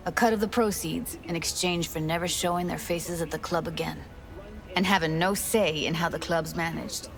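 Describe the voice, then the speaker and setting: A woman's voice: on the low side, steady tone, moderate pace, music in the background, close to an everyday voice. An actress playing a determined young techie woman rallying her crew in a video game, talking in clipped, informal street talk. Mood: resolute.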